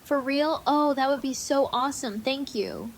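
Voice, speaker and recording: A woman's voice: sits high, normal speed, a little hiss or hum. A woman playing an earnest, sincere young camp medic in a fan audio drama. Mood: grateful.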